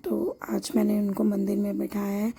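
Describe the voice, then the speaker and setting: Hoarse lady narrating her home chores, super gravelly and dark, kinda husky. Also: medium pitch, little pitch movement, moderate pace. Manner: chatty and homely, explains each step as she goes. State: hoarse from a sore throat. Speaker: home lifestyle vlogger. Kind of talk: casual voice-over narration of a home routine.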